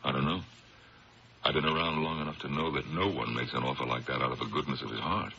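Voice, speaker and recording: Very deep-voiced, stern district attorney. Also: male voice, fairly even intonation, creaky, sharp tone, exaggerated voice. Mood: firm, wary. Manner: firm, deliberate, dramatic. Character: principled, stern district attorney, proud and troubled man. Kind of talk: 1970s radio drama acting. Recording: muffled 1970s radio recording with hiss.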